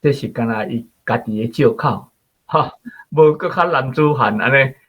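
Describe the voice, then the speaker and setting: A man's voice: mid-range voice, moderate pace, muffled recording. A man with a Taiwanese accent in casual, conversational discussion, often ending phrases with little tag particles. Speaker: radio show guest or host discussing the story.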